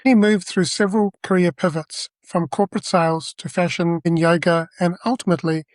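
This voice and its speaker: A man's voice: sits high, flat delivery, brisk, no echo. A man hosting a podcast, reading a polished motivational intro and then asking interview questions. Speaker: podcast host.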